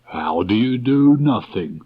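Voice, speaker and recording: Gentle, simple-minded bear for a storybook read by a man: very unhurried, husky, muffled tape sound. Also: fairly low pitch, animated, full character voice. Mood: thoughtful, content. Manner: slow, pondering, simple. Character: slow-witted, gentle, contented storybook bear. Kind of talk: storybook character reading. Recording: dull restored cassette tape.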